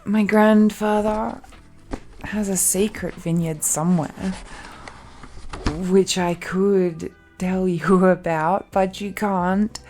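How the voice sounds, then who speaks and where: A woman acts out a young dragon girl, giddy to be engaged and possessively fond of her partner. She speaks very slowly in a soft, mellow voice, breathy and hesitant with a tender, giggly lilt. It is an intimate romantic audio drama with music behind her. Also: medium pitch, steady tone, close to an everyday voice. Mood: playful, excited.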